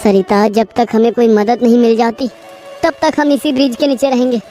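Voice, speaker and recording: Earnest, troubled village farmer and father, played by a woman, plaintive storybook drama, high pitch over music. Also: fairly even intonation, normal speed, mellow, lightly acted. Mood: worried, urgent. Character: troubled poor male farmer, husband and father.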